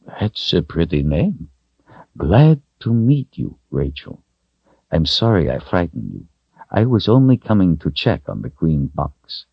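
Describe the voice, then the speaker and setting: A man acts out an elderly, kindly wizard in a fantasy storybook. The voice is low and slightly husky, soft-spoken and unhurried, with a swooping, reassuring gentleness. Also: very animated, dry recording, dull audio, heavily acted. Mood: gentle, amused. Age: older.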